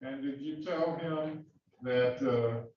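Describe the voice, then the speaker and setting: A man cross-examining a witness in a courtroom, questioning in a halting way with many fillers and restarts. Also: mid-range voice, slight background noise. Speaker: defense attorney questioning a witness. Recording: echoey, distant, dull courtroom audio.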